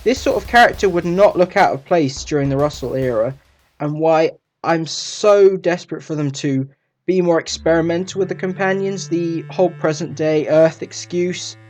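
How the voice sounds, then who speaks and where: Male video reviewer, slightly higher-pitched voice, casual commentary, light guitar music underneath. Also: normal speed.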